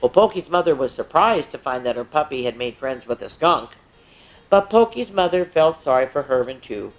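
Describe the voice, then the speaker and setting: A woman hosting story time, reading aloud to young children in an expressive way with little asides. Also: very deep voice, normal speed, poor audio.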